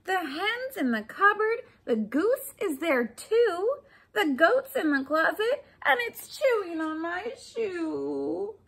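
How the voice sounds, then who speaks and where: A woman's voice: extremely high pitch, big pitch swings, takes it slowly, creaky, fairly bright tone. A woman in her forties reading a children's picture book aloud expressively, speaking to young listeners with questions and asides. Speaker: storybook reader for children. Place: small furnished room at home, indoors.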